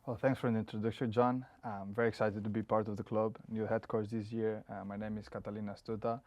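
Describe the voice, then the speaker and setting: A man's voice: lowish voice, level tone, quick pace, darkish tone, dry recording. A young man from Spain, answering interview questions as a head coach in a plain, earnest way with frequent "uh" and "you know". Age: young adult.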